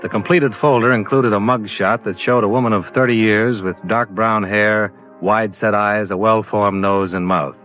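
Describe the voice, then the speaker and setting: An actor in a 1950s radio drama playing a blunt, hard-boiled insurance investigator who talks in a terse, matter-of-fact way. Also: male voice, fairly low pitch, steady tone, normal speed, few pauses, soft background music, natural voice. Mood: dry narrating. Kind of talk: 1950s radio detective acting. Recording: dull vintage radio recording.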